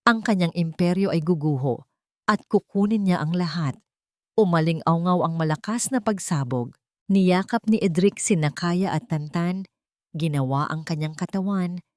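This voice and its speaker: A woman narrating a dramatic audio story in a steady, dramatic reading. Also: low voice, no echo, clean studio sound.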